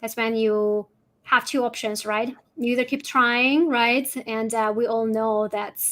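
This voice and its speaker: A woman with a Russian accent and a somewhat high-pitched voice speaks as a guest coach in a conversational interview. She explains step by step, often punctuating her phrases with a checking "right?". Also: steady tone, measured pace, a bit bright. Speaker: guest, holistic fertility coach.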